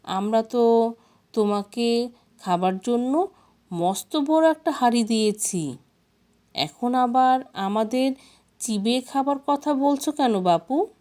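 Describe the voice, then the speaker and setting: A woman voicing a frightened male ghost chief in a storybook tale, soft-spoken and pleading. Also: on the high side, expressive, slow pace, pauses often. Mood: meek, pleading.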